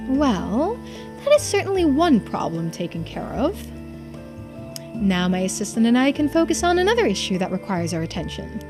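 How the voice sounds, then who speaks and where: A woman voicing a composed female paranormal investigator with a formal, measured manner in a game. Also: on the high side, sing-song, over music.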